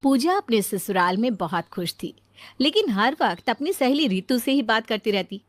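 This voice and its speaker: A woman's voice: fairly high pitch, sing-song, moderate pace, no echo. A woman reading scripted story narration.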